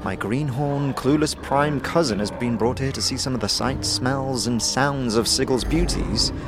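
A man's voice: medium pitch, normal speed, lightly acted. A man playing a haughty, dismissive city-dweller with a condescending manner in a fantasy audio drama, with music behind. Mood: snobbish. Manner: condescending, exasperated. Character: haughty, dismissive city-born cousin, jaded and snobbish.

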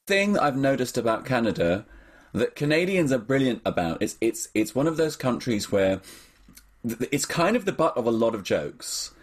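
A man's voice: mid-range voice, very animated, normal speed, slightly rough. A man hosting a casual interview, chatting in a wry, joking way. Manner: wry, chatty, jokes lightly. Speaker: podcast host.

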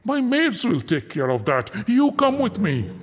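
A man voicing a lecherous, boastful male general with a slow, gloating drawl. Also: high voice, huge pitch swings, big-room sound, pitched above the performer's own voice, full character voice. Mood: leering.

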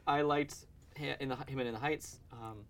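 A man's voice: medium pitch, steady tone, normal speed. A man hosting a podcast, running through entertainment news in a casual, chatty style. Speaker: podcast host. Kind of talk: casual pop-culture news chat.

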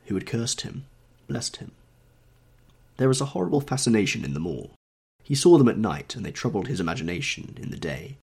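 Husky low-voiced man with a cold. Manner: measured, dramatic reading of long sentences. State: has a slight cold. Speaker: audiobook narrator, podcast host. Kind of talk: scripted literary narration.

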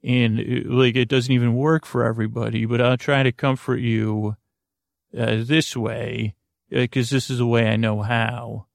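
A man hosting a sleep podcast in a creaky voice, rambling through a soothing bedtime monologue in a meandering, halting, stammering way. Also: medium pitch, level tone, no room sound, clean studio sound. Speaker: podcast host.

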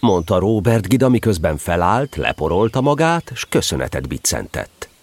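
A man narrating a children's story in an expressive, scripted storybook style. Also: sits low, a little hiss or hum.

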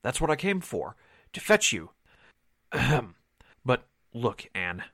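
A man's voice: medium pitch, very animated, slow pace, creaky, fairly bright tone, dry recording, studio quality, heavily acted. A man playing an earnest, put-upon sidekick in a 1940s radio drama. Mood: hesitant, puzzled.

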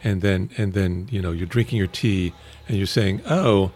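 A man's voice: deep voice, normal speed, a little mellow. A middle-aged man co-hosting a casual chat with his wife, rambling and restarting his sentences. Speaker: podcast co-host. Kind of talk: casual married-couple chat.

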